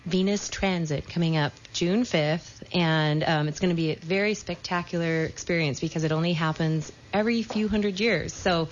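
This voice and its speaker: A woman's voice: on the low side, moderate pace, hardly any pauses. A woman co-hosting a radio talk show, presenting a news item in a chatty way with frequent ums. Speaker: radio co-host. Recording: dull muffled broadcast with faint noise.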